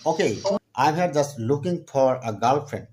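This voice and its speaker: A man's voice: mid-range voice, animated, normal speed, a little mellow, a little hiss or hum. A man from Bangladesh, flirting in broken English on a casual video call. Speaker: vlogger chatting with strangers.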